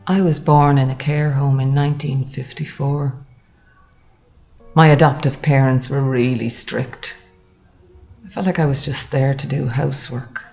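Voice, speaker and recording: An actress playing a wounded older woman recalling a harsh childhood in a halting, sombre testimony. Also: very deep voice, steady tone, creaky, a little mellow, soft background music, exaggerated voice. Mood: sombre, hurt. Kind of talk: dramatised first-person testimony monologue.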